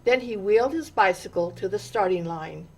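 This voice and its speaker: A woman reading a children's story aloud in an expressive, deliberate way, with dramatic pauses and stressed words. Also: mid-range voice. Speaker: storytime reader.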